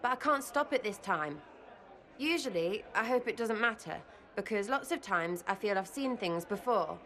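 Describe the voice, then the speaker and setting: A woman's voice: mid-range voice, lively intonation, normal speed, fairly bright tone, a faint crowd, natural voice. An actress playing a brash, playful young woman in a video game cutscene, rambling with crude slang and giggles. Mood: nervous.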